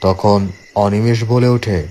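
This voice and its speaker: A man narrating a scripted horror story in a measured way, with dramatic pauses. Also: fairly low pitch, fairly even intonation, mellow, a little hiss or hum.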